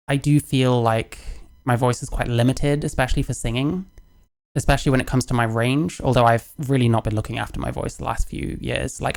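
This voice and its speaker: A man's voice: medium pitch, creaky. A man speaking casually and candidly, rambling and self-deprecating, laughing and swearing as he reacts to a clip. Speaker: youtuber reacting to another creator's video.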